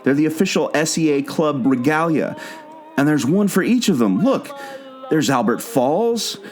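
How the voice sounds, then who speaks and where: Lively plucky explorer played by a man, music behind. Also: fairly high pitch, moderate pace, natural voice. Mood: knowing. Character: plucky male explorer, confident, eager, knowing. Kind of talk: audio adventure radio play acting.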